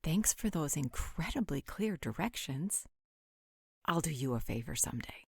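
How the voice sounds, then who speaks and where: A woman's voice: low-pitched, very animated, normal speed, vocal fry, breathy edge, no echo, heavily acted. A woman playing a haughty, flirtatious vampire with a dry, sarcastic manner in a roleplay audio drama. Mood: sardonic, dry.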